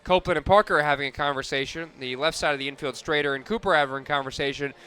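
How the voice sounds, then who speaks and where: A man calling live softball play-by-play on the radio as the announcer. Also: fairly high pitch, steady tone, rapid-fire, clear, resonant tone.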